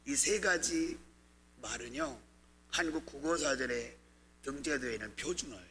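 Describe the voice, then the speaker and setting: A man's voice: medium pitch, sharp tone, slight background noise, low-quality recording. A male pastor giving a Sunday sermon, asking the congregation questions as he explains the text. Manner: conversational preaching with questions to the congregation.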